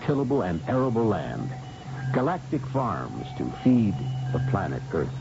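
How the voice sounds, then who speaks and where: A man narrating a scripted radio mystery as host, solemn, deliberate and theatrical. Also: lowish voice, sing-song, gravelly. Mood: grave, portentous. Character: solemn male narrator setting a future scene. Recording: dull old radio broadcast, music behind.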